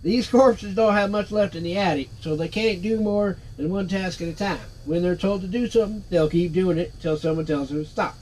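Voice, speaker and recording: A cheeky, sarcastic floating skull from a game, as a man reads him out, all street talk and wisecracks. The voice sits a bit high for a man, with a faint bed of room ambience behind it. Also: fairly quick, few pauses, a little mellow, slight background noise, natural voice, poor audio. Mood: knowing, dismissive. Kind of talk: reading game dialogue aloud.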